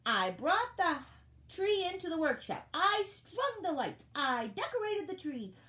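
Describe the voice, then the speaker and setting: A woman voicing a cheerful, industrious little female elf in a children's story, with a sweet and expressive delivery. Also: high-pitched, brisk, reverberant, slight background noise, pitched above the performer's own voice. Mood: indignant.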